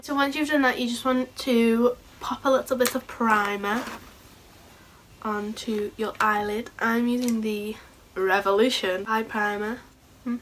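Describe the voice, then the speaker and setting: A woman with a sore throat and a hoarse voice, chatting casually and a bit scatterbrained through a makeup tutorial. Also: on the high side, fairly even intonation, measured pace, fairly bright tone, soft background music, low-quality recording. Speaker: beauty vlogger.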